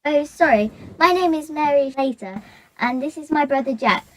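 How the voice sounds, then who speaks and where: A woman playing a sensible, polite young girl in an amateur radio play, earnest and a little halting. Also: high-pitched, lightly acted, low-quality recording. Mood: polite, nervous.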